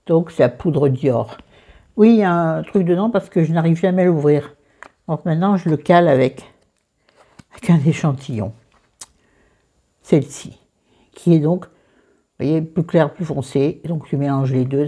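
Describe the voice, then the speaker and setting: A woman's voice: sits low, warm tone. A middle-aged woman with a southern French accent, chatting casually and self-mockingly about her makeup and skincare. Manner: chatty, self-mocking, talks to viewers and friends by name. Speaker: beauty vlogger.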